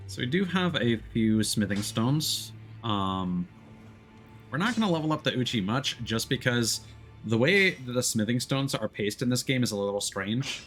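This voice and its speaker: Guy streaming a game, lowish voice, casually explaining stuff as he thinks, faint music behind. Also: fairly bright tone. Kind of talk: casual live gameplay commentary.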